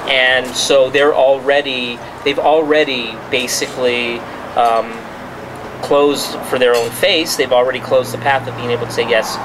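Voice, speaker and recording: A man's voice: medium pitch, fairly even intonation, a little slow. A man, a journalist, speaking off the cuff at a press conference in a restaurant, restarting and repeating words as he makes his points. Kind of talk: spontaneous press-conference talk. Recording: clear background noise.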